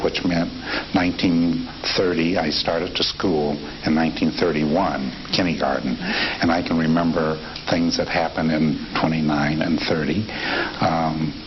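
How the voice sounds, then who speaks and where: A man in his fifties from Nebraska, recalling his childhood in a reflective interview, with frequent fillers and restarts. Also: very deep voice. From Nebraska, USA. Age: 50s. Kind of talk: relaxed oral history interview. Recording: dull lo-fi tape with steady hiss.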